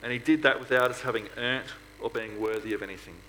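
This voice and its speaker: A man's voice: medium pitch, fairly even intonation, fast talker, sharp tone, a little hiss or hum, low-quality recording. A man preaching a sermon to a congregation in an earnest manner, often putting rhetorical questions to his listeners. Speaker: preacher at a church.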